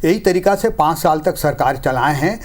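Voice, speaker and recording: Male political spokesman, Chhattisgarhi accent, fairly high voice, argumentative panel-debate delivery. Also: expressive, moderate pace.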